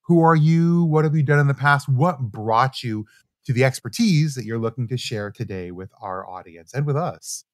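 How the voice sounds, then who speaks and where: A man's voice: mid-range voice, lively intonation, normal speed, no room sound, clean studio sound. A man from Canada hosting a podcast, chatty and upbeat. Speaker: podcast host. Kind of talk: podcast interview.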